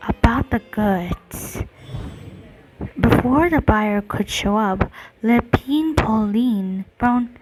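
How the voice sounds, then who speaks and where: A woman game streamer reading dialogue aloud during gameplay, halting and correcting herself as she goes. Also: on the high side, animated, over music, poor audio.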